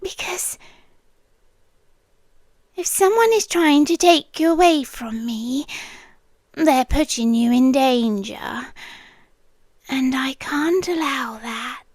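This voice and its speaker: A high, intimate British female voice, a woman acting as an obsessive landlady girlfriend in ASMR roleplay. She speaks extremely slowly, sweet yet controlling, often sinking into a breathy whisper. The sound is dry and close. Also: lively intonation, no echo, heavily acted. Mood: needy, possessive. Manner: slow, deliberate, coaxing and commanding.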